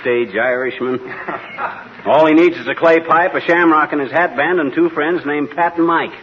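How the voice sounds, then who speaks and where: An actor playing a grumbling, jealous know-it-all husband, folksy and wisecracking, in 1940s radio comedy style. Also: male voice, on the high side, normal speed, a crowd in the background, natural voice. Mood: jealous, blustering. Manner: folksy, wisecracking, blustery bluffing. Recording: muffled, hissy 1940s radio recording.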